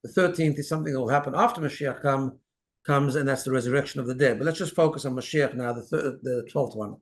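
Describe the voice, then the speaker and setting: A man's voice: mid-range voice, quick pace. A man speaking as a rabbi and co-host, teaching religion conversationally and explaining step by step.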